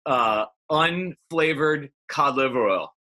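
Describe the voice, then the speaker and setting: A male host with a bright, punchy voice of average pitch chats casually. His way of talking is excitable and playful. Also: slow pace. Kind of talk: casual chat.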